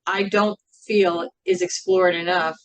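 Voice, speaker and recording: A woman with a slightly low voice answers as an interview guest. She speaks very slowly and reflectively, weighing her phrases and leaving frequent pauses as she goes. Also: flat delivery. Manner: measured, thoughtful, with frequent pauses. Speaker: interview guest, singer and writer.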